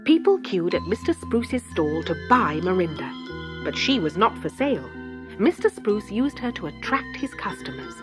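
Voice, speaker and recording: A woman reading a children's story in an expressive, theatrical narrator's style. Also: mid-range voice, normal speed, over music. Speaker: storybook reader.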